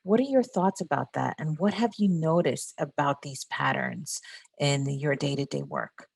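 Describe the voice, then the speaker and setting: A woman with a low-pitched voice hosts a panel discussion. She speaks haltingly, searching for words as she goes. The sound is dry, with very little room in it. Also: big pitch swings, vocal fry, a little mellow, breathy edge, no echo. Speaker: podcast host.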